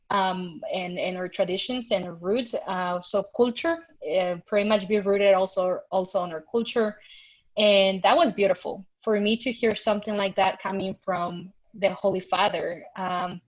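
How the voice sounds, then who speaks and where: A woman from Mexico with a Mexican accent, a podcast guest earnestly recounting a personal experience over a video call. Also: medium pitch. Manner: earnest, thoughtful, recounts with emphasis. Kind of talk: reflective personal storytelling. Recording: muffled lo-fi video call audio.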